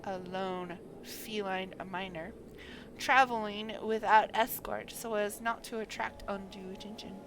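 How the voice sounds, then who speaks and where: A woman's voice: mid-range voice, fairly even intonation, unhurried, fairly bright tone, slight background noise, rough recording. A woman voicing a shrewd, composed female adventurer from a fantasy game, in formal archaic phrasing. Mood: sly.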